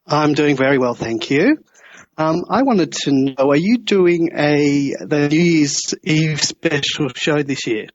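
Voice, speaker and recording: Higher-pitched Australian man on a muffled call. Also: expressive, slow, no room sound. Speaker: call-in show caller. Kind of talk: casual live chat with a radio host. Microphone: internet voice call. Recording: muffled internet call on radio.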